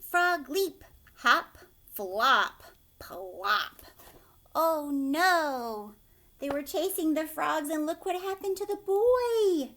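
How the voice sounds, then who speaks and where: A woman with a somewhat high voice reads a story to young children at a very slow pace. She is expressive and draws out her words like a teacher at storytime. Now and then she drops into hushed asides that put questions to her little listeners. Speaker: teacher reading a picture book aloud.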